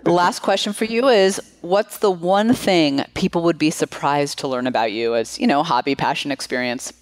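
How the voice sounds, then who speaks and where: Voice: medium pitch, normal speed, no room sound. A podcast host interviewing a guest in a friendly way, asking long, winding questions.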